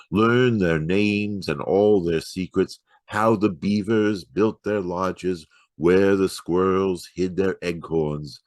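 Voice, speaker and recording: A man giving a dramatic poetry reading in a measured, rhythmic storytelling delivery. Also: low-pitched, a little mellow, no echo. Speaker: poetry reader.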